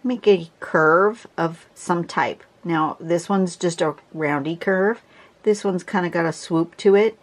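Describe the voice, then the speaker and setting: Brief: woman teacher, lowish voice, stuffy, chatty and rambling. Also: takes it slowly. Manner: chatty, restarts a lot, clears her throat. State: congested. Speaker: drawing teacher. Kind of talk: casual tutorial narration. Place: home desk, camera over the drawing surface.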